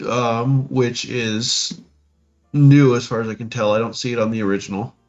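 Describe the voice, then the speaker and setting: A man co-hosting a podcast, casually discussing tabletop game rules and haltingly thinking aloud as he reads. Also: medium pitch, level tone. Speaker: podcast co-host. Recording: dull lo-fi audio, music behind.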